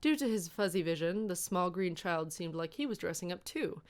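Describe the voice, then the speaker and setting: A woman with a fairly low voice reads a scripted story aloud. She works as the narrator of comic fan fiction, delivering prepared prose. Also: animated, normal speed.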